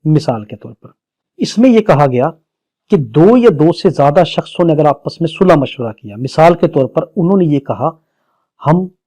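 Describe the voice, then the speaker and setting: A man's voice: fairly high pitch, dark tone. A man with a Pakistani accent giving a didactic exam-prep law lecture, reading phrase by phrase, then explaining and posing questions. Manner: didactic, reads phrase by phrase, poses questions and answers them.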